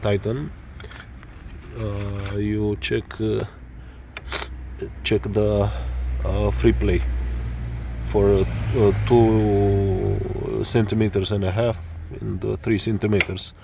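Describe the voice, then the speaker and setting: Romanian guy explaining bike repair in english, really slow, lots of uhs, bit of motor noise behind. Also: on the low side, little pitch movement, vocal fry. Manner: halting, many fillers, searching for words. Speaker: motorcycle tutorial maker. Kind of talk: hesitant spoken tutorial.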